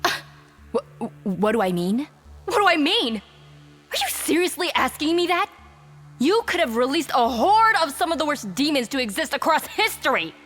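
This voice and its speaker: An actress playing a stern, serious woman janitor in an audio drama, husky and sharply exasperated. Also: sits high, big pitch swings, normal speed, a bit bright. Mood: angry, accusing.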